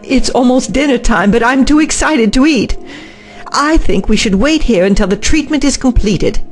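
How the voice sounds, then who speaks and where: A woman playing an excited, ambitious female scientist in a science fiction audio drama, formal and articulate. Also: a little high, moderate pace, faint music behind, natural voice.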